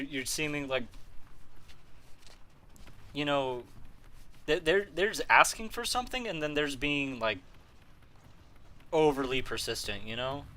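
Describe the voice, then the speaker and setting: Bright-voiced young man streaming. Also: mid-range voice. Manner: chatty, answers chat aloud, laughs often. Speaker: game streamer reading chat. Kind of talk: casual live-stream chat.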